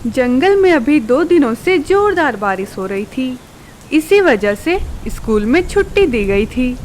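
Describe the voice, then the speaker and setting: Female storyteller, high voice, scripted children's narration, faint ambience with birdsong. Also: big pitch swings, measured pace, darkish tone, slight background noise.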